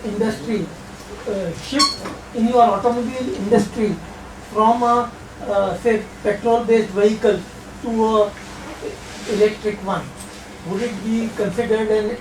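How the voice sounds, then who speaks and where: A woman's voice: medium pitch, steady tone, a little slow. A woman in the audience asking a question hesitantly, searching for words. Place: lecture hall. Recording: echoey hall with background noise.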